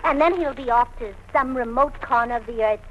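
A woman's voice: on the high side, fairly quick, dull audio, close to an everyday voice. A woman playing a cheerfully illogical, scatterbrained young wife in a 1940s radio comedy, talking in a chirpy, earnest way. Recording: old radio broadcast with hiss.

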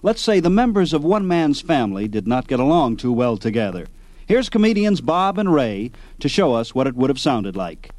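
A man's voice: mid-range voice, very animated, normal speed, a little mellow. A man hosting a program in scripted documentary narration. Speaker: program host.

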